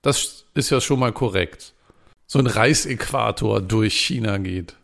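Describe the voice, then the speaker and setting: Guy with a bit of fry in his voice, joking around on a podcast. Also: lowish voice, a little slow, fairly bright tone. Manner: playful, laughs at his own attempts at dialect words. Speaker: podcast co-host. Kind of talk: casual podcast chat.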